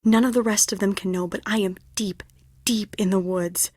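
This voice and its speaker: A woman voicing a stressed, dramatic young stage director in an adventure game, expressive and confiding. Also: mid-range voice, moderate pace, dry recording, studio quality, close to an everyday voice. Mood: confiding, troubled. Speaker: video game voice actor. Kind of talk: adventure game dialogue acting.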